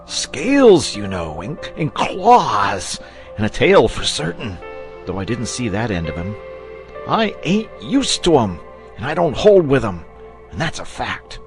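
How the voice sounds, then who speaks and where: A man performs a frightened country shepherd for a children's storybook. His delivery is rustic and exclamatory, and his pitch leaps and swoops well upward as he frets. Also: huge pitch swings, unhurried, faint music behind. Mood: agitated, wondering. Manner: rustic dialect grammar, exclamatory. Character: agitated, superstitious rustic shepherd, a frightened father.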